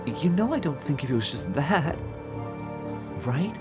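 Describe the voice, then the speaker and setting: A man's voice: fairly high pitch, very animated, a little slow, music in the background. A man playing an anxious, earnest young male friend who pleads and stammers in a fantasy audio drama. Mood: pleading.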